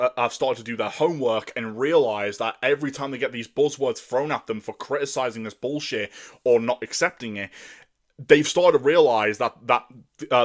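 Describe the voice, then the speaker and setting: A man with a deep voice ranting in a fierce, profane monologue. He keeps tripping over his words and restarting phrases as his anger pushes him forward. His pitch sits in a normal middle range for a man. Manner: angry and profane, with many repeated stumbles and restarts. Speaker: commentator.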